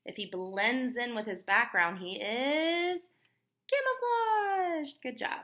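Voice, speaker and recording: A woman reading a children's book aloud like a teacher, expressive and emphatic, pausing to explain and put questions to her young listeners. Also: high voice. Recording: roomy, echoey room sound.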